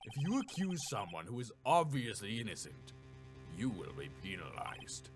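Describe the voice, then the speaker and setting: A man voicing a stern elderly male courtroom judge in a game, formal and measured. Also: lowish voice, huge pitch swings, music in the background, voice pushed down. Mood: stern, solemn.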